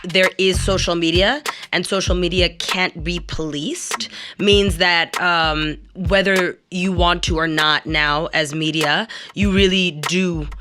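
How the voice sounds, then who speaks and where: A woman's voice: on the low side, fairly even intonation, slow, sharp tone. A woman in a candid discussion, explaining things in an emphatic, conversational way. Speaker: actor and radio professional. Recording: music playing under speech. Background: music.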